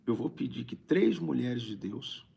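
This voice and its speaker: A Brazilian man with a raspy, gravelly voice, a preacher talking conversationally on a live stream and repeating phrases for emphasis. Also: mid-range voice, lively intonation, unhurried, dark tone, highs cut off. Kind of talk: informal livestream preaching, reading viewer greetings.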